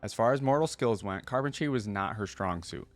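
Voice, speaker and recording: A man hosting a reaction video, reading lore aloud and adding casual, rambling commentary as he thinks out loud. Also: on the low side, a little fast, soft background music.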